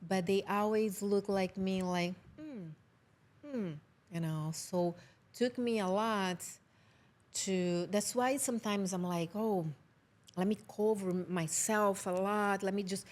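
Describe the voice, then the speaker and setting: Podcast guest: Brazilian woman with a Brazilian accent, halting English, average pitch, chatty and laughing. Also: a little mellow. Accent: Brazilian Portuguese. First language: Portuguese. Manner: halting, searching for English words, laughs often. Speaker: film producer guest. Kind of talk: casual podcast conversation.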